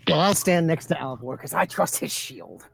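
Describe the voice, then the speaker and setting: A man's voice: on the high side, very animated, moderate pace, vocal fry, soft background music. A man talking casually as a player in a tabletop roleplaying game.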